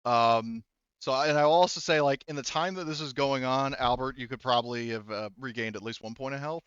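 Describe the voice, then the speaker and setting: A man's voice: mid-range voice, fairly quick, a bit bright, smooth, no room sound, dull audio. A man running a tabletop game as game master, narrating casually and explaining rules, often hedging and correcting himself.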